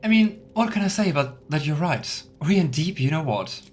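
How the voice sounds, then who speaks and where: A man's voice: fairly high pitch, expressive, moderate pace, a little mellow. A man hosting a let's play, chatting casually and musing aloud as he goes. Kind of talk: casual game commentary. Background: game music.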